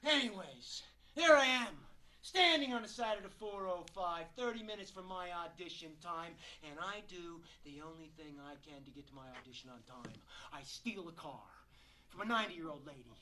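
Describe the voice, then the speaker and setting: A man's voice: high-pitched, animated, normal speed, sharp tone, a little hiss or hum, rough recording. A man performing a theatrical monologue as an exasperated, wisecracking struggling actor, sarcastic and colloquial. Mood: wry, resigned.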